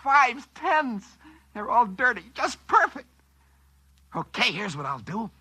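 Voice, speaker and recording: An American actor playing an excitable, work-shy schemer who stammers when flustered, in mid-century radio drama style. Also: male voice, very high voice, slow pace, husky, no echo, heavily acted. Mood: smug, musing. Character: work-shy scheming dreamer who finds a wishing machine. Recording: vintage radio recording with faint music.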